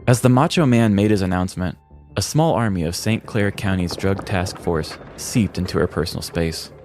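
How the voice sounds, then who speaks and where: Male podcast host, very low voice, scripted comedic crime narration over a music bed. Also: normal speed, a little mellow.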